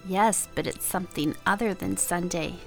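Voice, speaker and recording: A woman co-hosting a podcast in casual chat, calm and earnest as she tells stories. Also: fairly low pitch, normal speed, creaky, faint music behind, poor audio. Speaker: podcast co-host.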